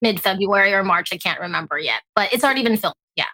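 A woman with an American accent, chatting casually as an interview guest and telling stories in an animated way. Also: medium pitch, fast, no room sound. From born in Hong Kong, grew up in Alaska. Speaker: guest, tea company founder.

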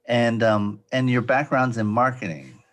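A man hosting an interview, introducing his guest and asking questions in a halting way, with many ums and restarts. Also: mid-range voice. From Providence. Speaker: podcast host.

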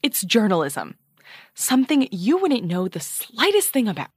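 A snippy pedantic lady reporter, audio drama banter, highish voice swinging all over, sarcastic. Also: huge pitch swings, moderate pace, husky, no echo, studio quality, heavily acted. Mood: indignant. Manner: indignant, biting sarcasm. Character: prickly, pedantic, proud woman journalist. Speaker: actor in a scripted audio drama.

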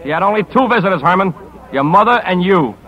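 An actor playing a hard-nosed, commanding newspaper editor in a 1950s radio drama, blunt and clipped, on a dull old recording. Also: male voice, high-pitched, lively intonation, quick pace, fairly bright tone. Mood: accusing.

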